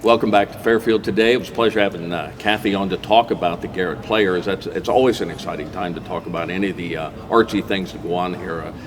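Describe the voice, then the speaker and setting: A man's voice: sits low, fairly even intonation, fast talker, hardly any pauses. A man chatting informally as a talk show host, with hesitant phrasing. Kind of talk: unscripted interview hosting. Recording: clear background noise.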